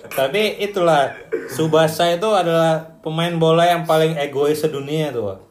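Male co-host, a bit high, relaxed banter, roomy sound. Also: normal speed. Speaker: podcast co-host. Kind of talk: casual chat.

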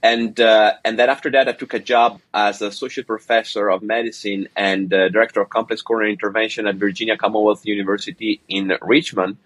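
A man in his forties from Italy, speaking as a cardiologist guest, measured with frequent hesitations. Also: lowish voice, little pitch movement, a bit bright, dry recording. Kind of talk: conversational interview.

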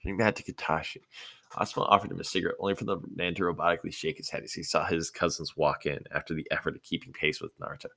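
A man's voice: deep voice, flat delivery, rapid-fire, vocal fry, sharp tone. A man narrating a scripted story as an amateur reader, occasionally stumbling and rereading phrases. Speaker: amateur audiobook reader of fan fiction.

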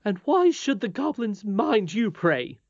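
A woman's voice: medium pitch, big pitch swings, unhurried, darkish tone, dry recording, muffled recording, pitched above the performer's own voice. A woman reading a storybook aloud, voicing a fretful, indignant, easily offended nursemaid who scolds in exclamations.

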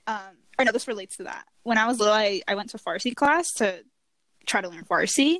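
A woman's voice: mid-range voice, lively intonation, brisk, fairly bright tone, no echo. A young woman telling stories in casual chat, talking with many fillers. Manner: chatty, filler-heavy storytelling.

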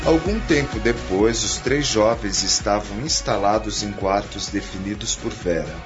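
A man's voice: on the low side, unhurried, close to an everyday voice. A man narrating a scripted radio drama. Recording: dull radio play with background music.

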